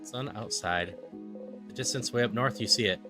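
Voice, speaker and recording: A man's voice: fairly low pitch, moderate pace, natural voice. A man reading as the storyteller of a wistful fantasy video game, in scripted narration. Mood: subdued, ominous. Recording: game music under the voice.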